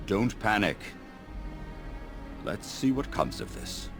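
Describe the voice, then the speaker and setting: An actor playing a grave, calm old elven elder in a fantasy video game, measured and weary, with a gravelly voice. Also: male voice, lowish voice, over music, heavily acted.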